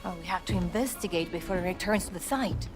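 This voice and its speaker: A woman's voice: on the low side, fast, over music, close to an everyday voice. An actress playing an inquisitive, determined young woman in an adventure game. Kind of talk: cinematic adventure game acting.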